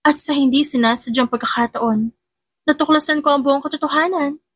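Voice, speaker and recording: A woman voicing an obsessed, scheming young rival with a loud, defiant, accusing delivery in an audiobook drama. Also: high-pitched, steady tone, a little fast, no room sound, pitched above the performer's own voice, natural voice. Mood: spiteful, defiant.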